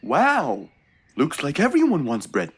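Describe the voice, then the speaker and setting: Woman as a slow-talking, very animated cartoon fox. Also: low voice, over music, heavily acted. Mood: friendly. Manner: friendly, patient, reassuring. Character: Mister Fox, kindly helpful cartoon fox handyman. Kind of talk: children's cartoon voice acting.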